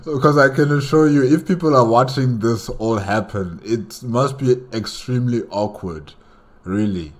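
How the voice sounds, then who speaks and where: A man's voice: medium pitch, moderate pace. A man hosting a reaction video, giving casual, conversational commentary and repeating himself for emphasis.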